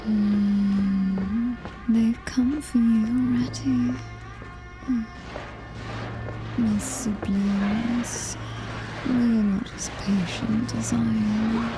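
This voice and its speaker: A British woman playing a coldly superior, menacing alien-human hybrid in a whispered ASMR horror roleplay, breathy, deliberate and taunting. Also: a little high, fairly even intonation, over music, poor audio. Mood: predatory, taunting.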